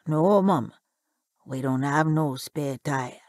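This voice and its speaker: A woman's voice: deep voice, slow pace, a little mellow, dry recording, clean studio sound, voice pushed down. A woman voicing a humble, nervous little male cab driver, deferential and grave, in a story. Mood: apologetic.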